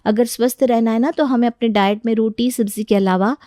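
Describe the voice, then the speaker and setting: A woman voicing a feisty, argumentative young daughter-in-law in an animated family story, lecturing in an exasperated manner. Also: a little high, brisk, dry recording, clean studio sound, lightly acted. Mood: firm.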